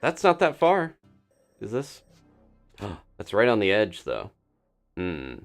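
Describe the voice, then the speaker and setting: A man with a low voice streams a video game with casual commentary. His pitch moves around a lot as he thinks aloud. Faint game music plays behind him. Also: huge pitch swings, slow. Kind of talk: casual live gameplay commentary.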